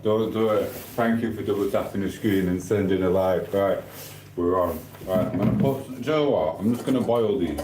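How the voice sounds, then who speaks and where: A middle-aged man from Sheffield, speaking with a broad Yorkshire accent in a somewhat low voice. He rambles and trades mock insults with his live chat in the small hours while cooking. The sound is echoey, as if from a bare kitchen, with faint noise underneath. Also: a little mellow. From Sheffield, England. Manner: banter-heavy, mock trash-talking, rambling. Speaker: livestreamer. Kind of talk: late-night live stream chat. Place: home kitchen at night.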